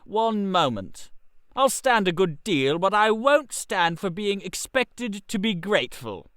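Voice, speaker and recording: Guy doing a sulky young english gent, strained and grumbly, really swingy pitch, radio story. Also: very animated, takes it slowly, fairly bright tone, dry recording, studio quality, full character voice. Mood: strained, resentful. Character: emotional, exasperated, sulky young English gentleman friend. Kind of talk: radio theater story reading.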